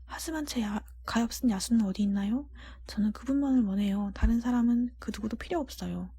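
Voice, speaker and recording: A woman voicing a tender, devoted young storybook heroine in an earnest, pleading, breathy whisper. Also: fairly high pitch, fairly quick, mellow, no echo. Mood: tearful longing.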